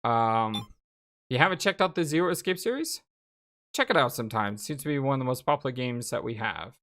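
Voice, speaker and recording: A woman's voice: very deep voice, lively intonation, a little fast, fairly bright tone. A woman chatting casually and expressively as she hosts a let's play, reading and commenting on a game. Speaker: let's play host reading visual novel lines aloud.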